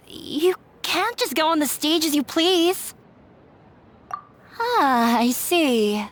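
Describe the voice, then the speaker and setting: High-voiced, flustered young prop maker. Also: female voice, a little hiss or hum, exaggerated voice. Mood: startled. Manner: hesitant, apologetic, stammering. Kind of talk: video game voice acting. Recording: faint music behind the voice.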